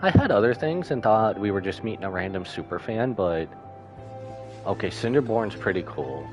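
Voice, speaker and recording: A deep-voiced man streams a game, reacting informally in slangy chat. His intonation is lively, with faint music behind him. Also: moderate pace. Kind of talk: casual live reaction commentary.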